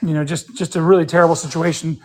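A man's voice: on the high side, little pitch movement, quick pace, a little hiss or hum. A man in his fifties, a guest in a casual sports interview, speaking in an earnest, opinionated way as he thinks aloud. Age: 50s. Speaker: interview guest, pickleball player.

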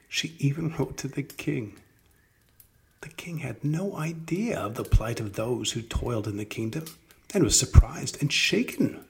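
Male storybook reader with a markedly gravelly, creaky voice, deliberate and expressive. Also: mid-range voice. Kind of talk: scripted children's story narration.

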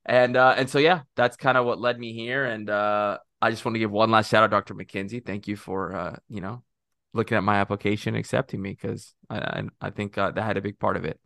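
A man's voice: fairly low pitch, fairly even intonation, fairly quick, vocal fry, fairly bright tone, no room sound. A young man from Texas co-hosting a podcast, chatting casually in rambling, self-interrupting talk with jokes. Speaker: podcast co-host.

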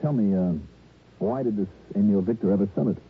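An actor playing a brisk, wisecracking American investigator in an old radio detective drama, with punchy, slangy, insistent delivery. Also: male voice, on the low side, slightly rough. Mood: probing. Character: brisk, wisecracking male insurance investigator hero. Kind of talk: 1950s-60s radio detective drama acting and narration. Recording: muffled, noisy vintage radio broadcast.

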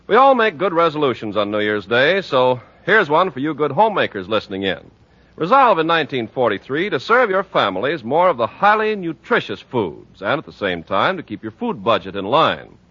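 Forceful American male radio announcer, lively delivery. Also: medium pitch, fairly quick, slightly rough. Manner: emphatic, polished sales delivery. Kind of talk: scripted 1940s radio advertising and announcing. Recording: dull 1940s radio recording.

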